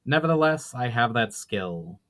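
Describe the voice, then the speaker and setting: Man acting cool, measured, proud elf. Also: mid-range voice, expressive, fairly bright tone. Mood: cool confidence.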